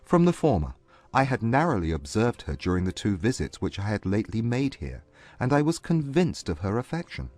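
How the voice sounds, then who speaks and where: A man playing a proud but humbled gentleman suitor, earnest and formal, in a dramatized classic romance audiobook. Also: fairly low pitch, big pitch swings, no echo, soft background music. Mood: candid confession. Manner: formal, measured period English.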